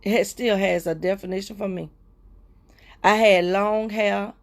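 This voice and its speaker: A woman hosting a livestream, sharing a heartfelt personal testimony with viewers in a repetitive, preacher-like cadence, often slowing down and dropping to soft murmurs. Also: medium pitch, steady tone, gravelly. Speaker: livestream host.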